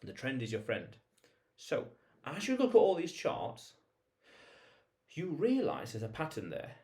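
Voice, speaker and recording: A man's voice: mid-range voice, wildly swooping pitch, unhurried, mellow. A man hosting a vlog, talking informally to viewers in an explainer monologue, emphatic, repeating phrases and posing rhetorical questions. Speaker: vlog host.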